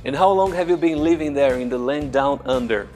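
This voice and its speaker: A woman hosting an interview, asking friendly, clear questions and closing with a sign-off. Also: extremely low pitch, a little fast, warm tone, faint music behind. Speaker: interviewer and channel host.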